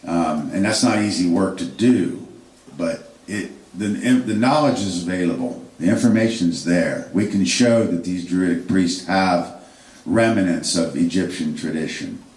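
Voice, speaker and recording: A man's voice: low voice, a little slow, a little mellow. A man giving an unscripted history lecture, rambling with fillers and restarts. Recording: echoey room, distant mic.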